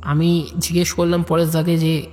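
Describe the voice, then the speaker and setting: A man's voice: a little high, completely flat delivery, normal speed, darkish tone. A man narrating a comic audio story in a conversational way, addressing listeners directly. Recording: long reverb, music behind.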